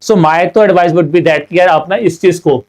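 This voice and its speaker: A young Indian man with a sore throat, teaching an online exam prep class. He mixes Hindi and English, reads each question slowly, then explains the answer fast. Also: on the high side, a little mellow.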